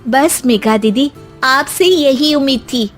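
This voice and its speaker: A woman voicing an indignant young daughter-in-law in an animated story, firm, outspoken and emphatic. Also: high voice, takes it slowly, soft background music. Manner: emphatic scolding.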